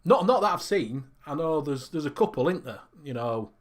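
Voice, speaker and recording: Male host, slightly high voice, relaxed talkative manner. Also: normal speed. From Northern England. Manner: chatty, easygoing. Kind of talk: casual chat.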